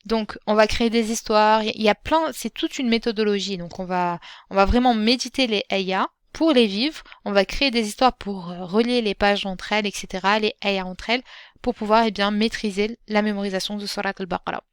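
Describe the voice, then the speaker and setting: A woman hosting her own podcast as a Quran teacher. She speaks gently and encouragingly, addressing the listener directly, with frequent fillers and restarts. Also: mid-range voice, brisk, clear, resonant tone, no echo, studio quality. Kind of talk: solo podcast talk.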